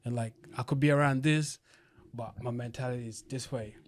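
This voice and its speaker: A young man who grew up between Uganda and Australia, talking in a loose, streetwise way. He keeps dropping "you know what I mean" between his thoughts, and his voice sits at an ordinary middle pitch. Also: fairly even intonation, a little fast, very noisy. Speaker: guest, event promoter. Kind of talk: casual podcast chat. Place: podcast studio.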